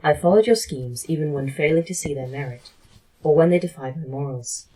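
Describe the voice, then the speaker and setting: A woman playing a disembodied, once-human A.I. in an audio drama, measured, deadpan and resigned. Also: low-pitched, poor audio. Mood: weary, pleading. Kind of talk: audio fiction drama acting.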